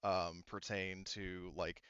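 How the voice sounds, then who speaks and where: A man improvising tabletop game narration as game master, often halting and rephrasing mid-sentence. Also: low voice, little pitch movement, fairly bright tone, clear, resonant tone, dry recording, highs cut off.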